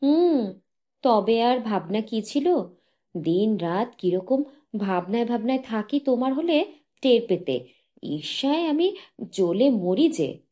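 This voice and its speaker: A woman's voice: on the high side, big pitch swings, slow, long pauses, dark tone, voice pushed up. A woman voicing a young adoring wife who is playful, jealous and anxious, with a coaxing, tender way of speaking. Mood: teasing, adoring.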